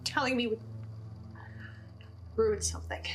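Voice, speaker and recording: A woman delivering a scripted, dramatic video log monologue with ominous pauses for effect. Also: fairly high pitch, steady tone, a little hiss or hum. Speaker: host of a listener's video log. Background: music behind the voice.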